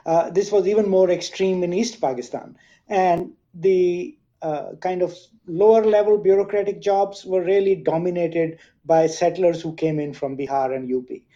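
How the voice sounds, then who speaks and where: Mellow man with dull lo-fi sound. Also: high voice, fairly even intonation. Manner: measured, lecturing with frequent uhs. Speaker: guest. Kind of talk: explanatory discussion. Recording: dull lo-fi audio.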